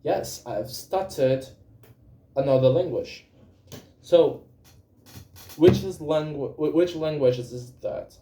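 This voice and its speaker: A man's voice: fairly high pitch, takes it slowly, long pauses, echoey room, noisy background. A young Brazilian man whose first language is Portuguese, speaking English with a Brazilian accent in a casual spoken journal, hesitant and full of fillers and self-corrections. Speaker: vlogger.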